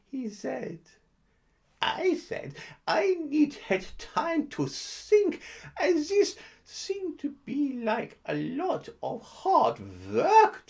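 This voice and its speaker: A smug, self-satisfied guru who brags in a drawn-out, theatrical way, as a woman puts him on. The voice sits high and swoops widely up and down. Every phrase is stretched out very slowly, as if each word were a gift to the listener. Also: vocal fry, darkish tone, heavily acted. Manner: drawling, mock-grand storytelling with invented words. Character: boastful, lazy self-styled guru, slow and theatrical. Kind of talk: comedic character monologue.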